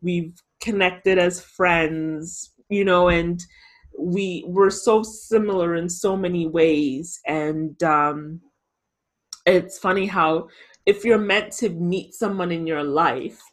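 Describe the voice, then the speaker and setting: A woman hosting a casual podcast chat, speaking in a slow, reflective way. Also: on the low side. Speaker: podcast host.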